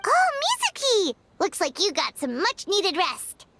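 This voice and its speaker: A woman voicing a tiny, excitable, childlike fairy companion with a squeaky voice in a video game. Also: unhurried, heavily acted. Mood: excited. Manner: eager, exclamatory.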